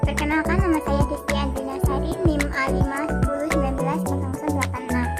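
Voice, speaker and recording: A woman's voice: high voice, flat delivery, fast, over loud music. A young woman, a student, giving a scripted introduction. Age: young adult.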